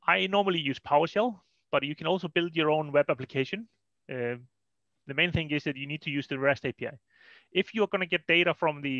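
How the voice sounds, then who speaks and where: A man's voice: a little high, bright, forceful tone, dry recording. A man with an Icelandic accent giving an informal, explanatory technical presentation at an online meetup, often saying "you know". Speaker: presenter, data consultant. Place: indoors on a video call. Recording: dull lo-fi online call audio.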